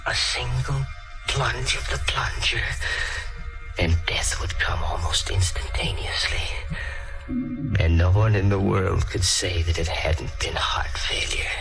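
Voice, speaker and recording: An actor playing an obsessive, scheming murderer who narrates his own crime in a brooding, deliberate 1940s radio thriller style. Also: low-pitched, creaky, in a whisper, exaggerated voice, poor audio. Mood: tense, menacing. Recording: old radio recording with loud music.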